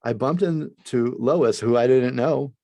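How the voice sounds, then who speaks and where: A man's voice: medium pitch, a little slow, vocal fry, warm tone, no echo. A male talk show host, chatting in an enthusiastic, rambling way with plenty of restarts. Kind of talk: casual chat.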